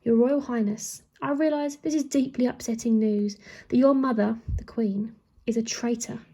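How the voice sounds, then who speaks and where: A woman voicing a scheming male Lord Protector in a calm, oily storybook reading. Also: on the high side, normal speed. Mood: smooth.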